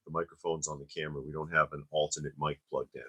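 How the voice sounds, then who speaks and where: Hoarse guy with a real low voice cracking dry jokes on a home livestream, camera mic. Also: level tone, fast, sharp tone. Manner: wry, joking asides. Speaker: co-host of a toy livestream. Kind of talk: casual livestream chat. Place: home toy museum room. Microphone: camera-mounted mic.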